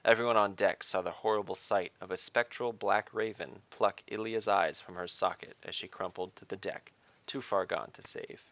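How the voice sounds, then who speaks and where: A man hosting a podcast, reading scripted fantasy narration in a measured, dramatic storytelling manner. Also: deep voice, a bit bright, dry recording, highs cut off.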